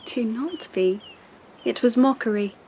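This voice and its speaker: An actress playing a haughty, icy young empress in a radio drama, imperious, formal and measured in her disdain. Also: on the high side, darkish tone, a little hiss or hum. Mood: haughty, probing.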